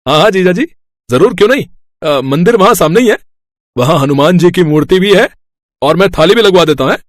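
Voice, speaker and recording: A man's voice: high voice, expressive, a little fast, no room sound, clean studio sound, pitched above the performer's own voice. A man voicing a chirpy, casual brother-in-law who is eager and coaxing in a moral story. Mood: cheerful. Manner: eager, breezy.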